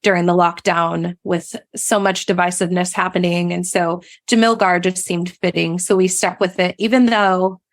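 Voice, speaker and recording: A woman's voice: on the low side, fairly even intonation, moderate pace, few pauses, no echo. A woman speaking as an interview guest, telling personal stories in a conversational way. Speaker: podcast guest, food blogger.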